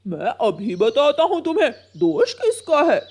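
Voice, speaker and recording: A woman voicing a grumpy, selfish male crow in an animated children's story, curt and defiant. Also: sits high, unhurried, exaggerated voice. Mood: angry.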